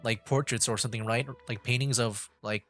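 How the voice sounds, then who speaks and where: A man's voice: medium pitch, fairly even intonation, a little fast, fairly bright tone, soft background music. A young man streaming a game from home, giving loose, rambling commentary full of "like" and restarts. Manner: rambling, heavy use of "like", restarts, laughs. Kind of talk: casual gameplay commentary.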